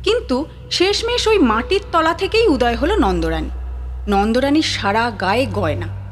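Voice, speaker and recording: A woman narrating a scripted ghost story in a lively, wry storytelling manner. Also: fairly high pitch, normal speed. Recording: sitar music bed behind.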